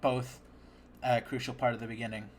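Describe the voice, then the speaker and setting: A man's voice: mid-range voice, flat delivery, brisk, slight background noise. Chatty male podcast host. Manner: chatty, laughs often, stumbling restarts. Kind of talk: casual chat.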